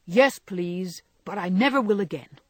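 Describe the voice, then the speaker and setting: Boyish young husband in a storybook, played by a woman, mischievous and mock-humble, lowish, slightly husky. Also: lively intonation, measured pace, a little mellow, dry recording, clean studio sound. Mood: sheepish mischief. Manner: playful, mock-dignified.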